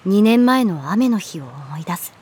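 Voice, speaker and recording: A woman playing a guarded, sullen middle-school runaway girl in a Japanese audio drama, curt in speech with moody, breathy murmured asides. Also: mid-range voice, very animated, a little slow, a little mellow, exaggerated voice. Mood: wistful, introspective. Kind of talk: Japanese audio drama acting with introspective narration.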